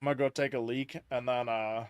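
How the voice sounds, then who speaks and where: A man's voice: mid-range voice, fairly even intonation, fast talker. A man streaming a game, chatting casually and explaining at length with many restarts, on a headset mic. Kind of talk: casual gaming chat.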